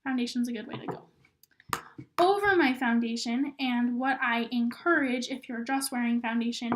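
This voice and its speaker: A high-pitched young woman talks through a makeup how-to in a loose, chatty way, filling her sentences with "um" and "like." Her voice is a bit hoarse as she gets over being sick. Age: young adult. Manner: chatty, filler-heavy, lots of "um" and "like". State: hoarse, recovering from illness. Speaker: rodeo queen giving makeup tips. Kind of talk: casual tutorial vlog.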